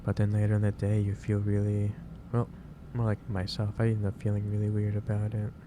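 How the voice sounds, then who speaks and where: Male, low-pitched, gentle boss roleplay in ASMR style, halting advisory delivery, over clearly noisy ambience. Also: completely flat delivery. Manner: hesitant, halting, pausing mid-sentence, gently advising. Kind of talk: soft ASMR roleplay, improvised monologue to the listener.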